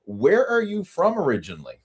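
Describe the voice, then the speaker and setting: A man's voice: on the high side, wildly swooping pitch, fairly quick, slightly rough. A man hosting a fitness podcast, talking in an easygoing, friendly way with fillers. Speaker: podcast host. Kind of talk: casual interview talk.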